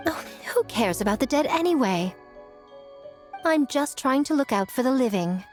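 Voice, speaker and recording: Sly, lilting game priestess. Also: female voice, high voice, expressive, moderate pace, a little mellow, faint music behind. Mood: sly, teasing. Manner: theatrical, wry. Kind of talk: video game story dialogue.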